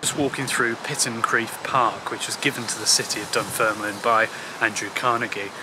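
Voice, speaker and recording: A man in his thirties giving a casual, halting walking commentary as a travel vlogger, speaking outdoors into a handheld camera. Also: on the low side, fairly even intonation. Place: outdoors in a park and old town streets. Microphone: handheld camera at arm's length. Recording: clear outdoor ambient noise.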